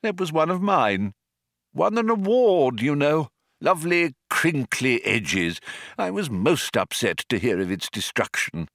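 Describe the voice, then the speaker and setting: A man's voice: on the high side, sing-song, measured pace, vocal fry, a bit bright, no room sound, studio quality, pitched above the performer's own voice, exaggerated voice. A man voicing an elderly alien planet builder in an audiobook, genial, polite, mildly vague and cheerfully understated. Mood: mildly put out. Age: older. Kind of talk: audiobook character voice.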